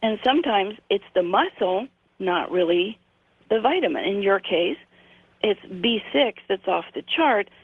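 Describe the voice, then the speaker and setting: A woman in her sixties called in as a guest, explaining her work in a measured way with frequent ums. Her voice comes through a thin, narrow phone line with a dry, close sound. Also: mid-range voice, animated, slightly rough, dry recording. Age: 60s. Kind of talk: radio interview by phone.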